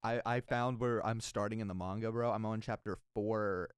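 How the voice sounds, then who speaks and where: Excitable young man, dry sound. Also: on the low side, moderate pace, clean tone, no echo. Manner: excitable, slangy. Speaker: podcast co-host. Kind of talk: casual chat.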